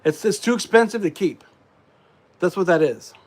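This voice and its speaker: A congested man rambling casually on a live stream, full of fillers and frequent throat clearing. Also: high-pitched, lively intonation, normal speed.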